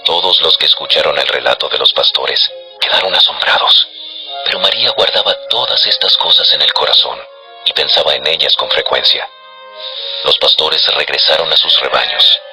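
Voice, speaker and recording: A man narrating scripture as a solemn, measured storyteller for a dramatized audio production. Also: extremely low pitch, pushed and bright, rough recording.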